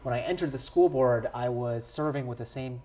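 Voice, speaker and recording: A man's voice: mid-range voice, brisk, darkish tone. An American man in his thirties, a school board member, answering interview questions in a fluent, composed way. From United States.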